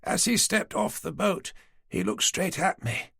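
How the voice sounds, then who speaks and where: A frail, forgetful old woman, put on by a male audiobook narrator chatters in a genteel, rambling way. Her voice lifts and dips a lot and carries a gravelly edge. The sound is dry and close. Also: fairly low pitch, sing-song, measured pace, fairly bright tone, no echo, pitched above the performer's own voice, full character voice. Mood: chatty. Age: older. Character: frail, forgetful elderly woman, retired nurse. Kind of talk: audiobook character voice.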